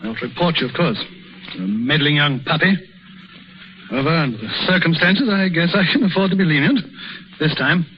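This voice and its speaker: A man's voice: a little high, normal speed, pauses often, lightly acted. Man as pompous older physician, indignant, authoritative, 1930s radio drama, muffled with faint noise. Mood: grudging, gruff. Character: stern, pompous older male senior physician.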